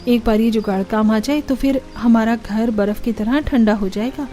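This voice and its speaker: A woman's voice: a little high, moderate pace, dark tone, faint music behind, natural voice. A woman voicing a young, resourceful and polite daughter-in-law in a cartoon story. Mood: encouraging. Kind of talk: Hindi cartoon story dialogue.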